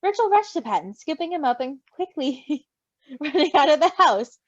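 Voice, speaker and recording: A woman reading a story aloud in an animated style, with casual asides, on a slightly dull recording. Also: high-pitched, normal speed, no room sound. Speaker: story reader.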